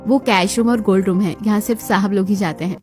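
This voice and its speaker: A woman's voice: mid-range voice, fast talker, darkish tone, faint music behind, natural voice. A woman playing a polite, deferential palace servant who explains things formally in a Hindi moral-story cartoon. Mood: calm, informative.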